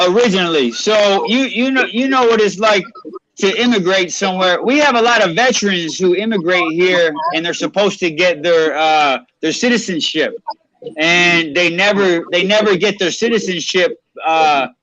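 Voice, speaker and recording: A man hosting casual panel talk who speaks haltingly, with many restarts. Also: high voice, a bit bright, no echo. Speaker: host. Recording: dull, dry audio.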